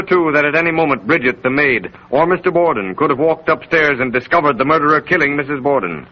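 Casting: male narrator, mid-pitched, oratorical and emphatic, vintage radio storytelling on a dull recording. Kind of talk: 1930s dramatic radio narration, scripted. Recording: muffled, hissy 1930s radio recording.

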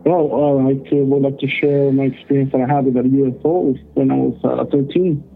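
A man from El Salvador, calling in to a show, telling a personal story slowly with many fillers and pauses. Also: medium pitch, little pitch movement, creaky, a little hiss or hum. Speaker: caller. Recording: tinny phone line.

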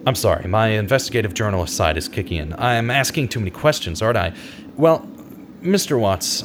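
An actor in an audio drama playing an earnest, guilt-ridden investigative journalist in his thirties, with a dramatic, halting delivery. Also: fairly low pitch, background noise. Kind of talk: audio drama acting with narration.

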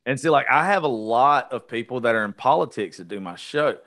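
A man's voice: medium pitch, expressive, a little fast, fairly bright tone. A man, hosting a casual interview chat in a blunt, joking, foul-mouthed way. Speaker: show host.

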